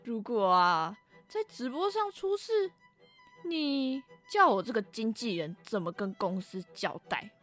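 A woman playing a stern, nagging talent manager in a radio drama, scolding with drawn-out emphasis. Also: on the high side, very animated, fairly bright tone, faint music behind. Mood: scolding, warning.

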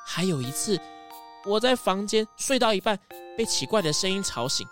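A woman's voice: medium pitch, sing-song, normal speed, lightly acted. A woman playing a timid, frightened small child in a children's audio mystery drama. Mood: scared. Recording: music behind the voice.

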